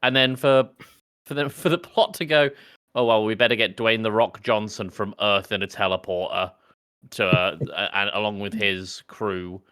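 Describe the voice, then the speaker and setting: A man's voice: fairly low pitch, fairly even intonation, moderate pace, bright, forceful tone, smooth. A man co-hosting a film podcast, explaining things casually with wry, opinionated asides. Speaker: podcast co-host.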